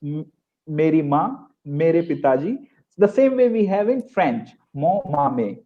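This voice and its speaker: A man's voice: on the high side, animated, a little slow, lo-fi sound. A man with an Indian English accent, a native Hindi speaker, earnestly explaining things with examples on an online panel. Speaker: French teacher on a panel.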